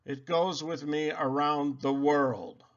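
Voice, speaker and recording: A man voicing Santa as a hearty, booming old man. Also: fairly even intonation, slow. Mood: jolly. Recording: dull lo-fi sound.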